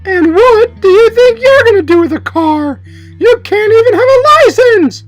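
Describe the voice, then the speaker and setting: A woman's voice: extremely high pitch, normal speed, soft background music, low-quality recording. A woman voicing an indignant, boastful twelve-year-old schoolboy in a comedy puppet show. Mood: exasperated. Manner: excitable, self-justifying.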